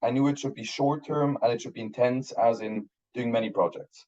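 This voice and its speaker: Swiss man, thirties, German first language, warm mellow tone, slightly muffled audio, rambles with fillers. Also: medium pitch, fairly even intonation, brisk. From Switzerland. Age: 30s. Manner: rambling, many restarts and fillers. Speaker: guest filmmaker. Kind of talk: casual interview chat.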